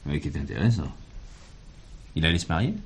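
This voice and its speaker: An actor playing a polite but persistent police commissioner, asking formal, probing questions in a drama. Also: male voice, rumbling low, lively intonation, fairly quick, a little hiss or hum. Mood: polite, inquisitive.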